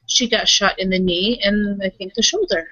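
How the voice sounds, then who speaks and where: A woman speaks casually in character during a tabletop roleplaying session. Her voice is bright and tense, and she explains in halting, self-correcting runs. The recording is dry and a little muffled. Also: mid-range voice, no echo. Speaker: player in a roleplaying game.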